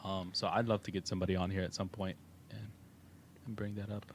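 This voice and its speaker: A man with a Texan accent co-hosting a livestream, talking in a relaxed, rambling way with many fillers. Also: low-pitched, fast. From Texas. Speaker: co-host of a crypto livestream. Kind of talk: casual livestream chat. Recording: faint background noise.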